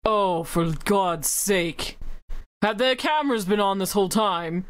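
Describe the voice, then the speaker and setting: A woman playing a flippant, rambling, easily indignant pirate in an improvised comic film dub, halting and sarcastic. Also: medium pitch, close to an everyday voice. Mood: baffled. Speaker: improv fandub voice actor.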